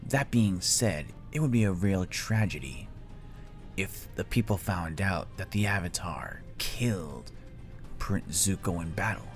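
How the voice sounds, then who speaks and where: A man voicing a cold, scheming middle-aged tyrant king in a fan story, speaking slowly and gravely in a hushed, breathy murmur. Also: low voice, animated, faint music behind. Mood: menacing, scheming. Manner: slow, grave, menacing, deliberate pauses.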